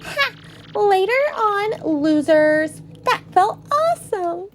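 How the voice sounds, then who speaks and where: A woman puts on a cocky little cartoonish video game creature. The voice is very high and swoops about as it taunts. Music plays behind it. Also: big pitch swings, slow pace, heavily acted. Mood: gloating. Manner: taunting, exclamatory.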